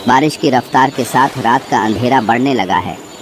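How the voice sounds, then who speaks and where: A man's voice: mid-range voice, normal speed, noisy background. A man reading a scripted horror story as narrator.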